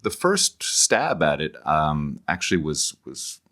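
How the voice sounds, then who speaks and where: A middle-aged man, an academic author, explaining conversationally as an interview guest, haltingly repeating words as he thinks aloud. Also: a bass voice, very animated, a bit bright.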